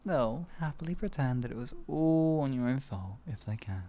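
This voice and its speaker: A man voicing a sadistic male wizard in an ASMR fantasy roleplay, cruel yet doting, teasing and cooing with soft whispers. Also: mid-range voice, expressive, moderate pace, natural voice. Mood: taunting. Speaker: voice actor.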